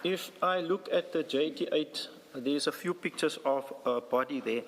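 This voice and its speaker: A man giving formal testimony as a witness, deferential and somewhat halting. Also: a little high. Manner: deferential, halting, addresses the commissioner. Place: large hearing room. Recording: reverberant hall.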